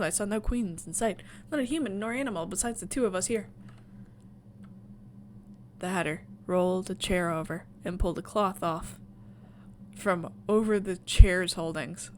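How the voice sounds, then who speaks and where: A woman's voice: medium pitch, slight background noise. A woman reading a visual novel aloud as a streamer, mixing story narration with chatty asides. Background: music.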